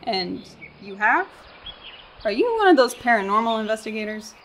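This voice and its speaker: Actress as sarcastic young woman tourist, doubtful, highish voice, radio play, faint birds. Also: very animated, normal speed, a little hiss or hum. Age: young adult. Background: birdsong, woodland ambience.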